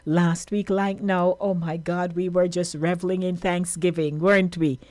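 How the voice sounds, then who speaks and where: A woman's voice: lowish voice, level tone, moderate pace, a little mellow, dry recording. A woman with a Jamaican accent hosting a radio show, chatting casually and slipping into patois as she signs off. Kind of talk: casual on-air sign-off.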